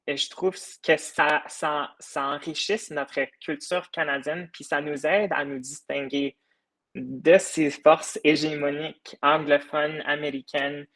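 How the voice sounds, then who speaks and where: An earnest young man from the United States talks through his ideas in a relaxed interview. His voice is bright and forward. He often hesitates with fillers before pushing on. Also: fairly high pitch, slow. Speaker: podcast creator, guest. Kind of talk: casual interview talk.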